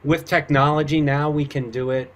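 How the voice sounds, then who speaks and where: A man, a voice actor guest, chatting casually in a loose, halting, easygoing way. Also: a little high, little pitch movement. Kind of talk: casual chat.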